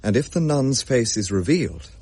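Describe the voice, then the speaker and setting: An actor playing a gentleman narrator who recounts his own ghost story in measured, formal period storytelling, with a husky voice. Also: mid-range voice, lively intonation. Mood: grave, measured.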